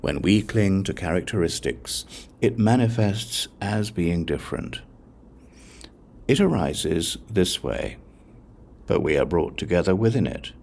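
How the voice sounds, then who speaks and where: A man narrating an audiobook of scripture, reading scripted text in a measured, solemn way, phrase by phrase. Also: deep voice, animated, vocal fry, darkish tone.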